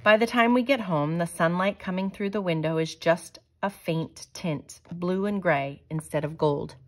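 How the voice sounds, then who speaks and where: Low-pitched woman reading a storybook aloud. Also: normal speed. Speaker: teacher reading a picture book aloud.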